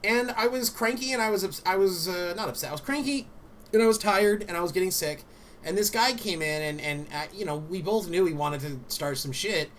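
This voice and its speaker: A man co-hosting a streamer talk show, telling animated stories in casual chat with many fillers and some swearing. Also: high voice, fairly quick, slight background noise.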